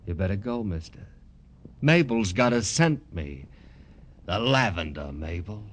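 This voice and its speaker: An actor playing a vain, dim, flamboyant wrestler, brash and slangy, in old American radio drama style. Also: male voice, fairly low pitch, big pitch swings, unhurried, background noise. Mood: dismissive, vain. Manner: brash, self-admiring, slangy. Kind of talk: 1950s American radio crime drama acting.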